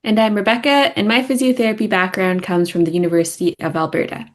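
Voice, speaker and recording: A young woman co-hosts a podcast. She delivers prepared, scripted narration in a medium-pitched voice. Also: steady tone, fast talker. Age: young adult. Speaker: student podcast co-host.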